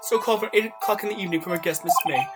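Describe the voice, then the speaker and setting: A woman plays a male head bellboy testifying in a courtroom game. He is polite, formal and deferential. Loud music is heard behind him. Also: medium pitch, racing, lightly acted.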